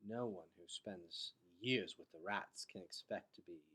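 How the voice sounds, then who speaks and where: Man voicing a soft, soothing, composed woman. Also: low-pitched, unhurried, breathy edge, voice pushed down, heavily acted. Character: gentle, composed adult woman of underground kingdom.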